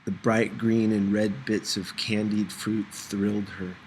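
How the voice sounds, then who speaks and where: A man's voice: lowish voice, level tone, unhurried, a little hiss or hum, poor audio. A man reading a first-person novel aloud as scripted audiobook narration. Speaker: audiobook reader.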